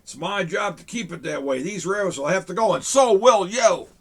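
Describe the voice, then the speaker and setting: A man's voice: high voice, expressive, normal speed, breathy edge, voice pushed up, heavily acted. A man reading aloud as a stern, easily angered small-town mayor in a storybook.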